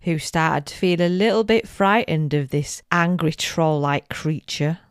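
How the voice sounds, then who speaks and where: A woman's voice: low voice, a little slow, smooth, no room sound, studio quality. A middle-aged woman narrating a scripted bedtime story as a podcast co-host.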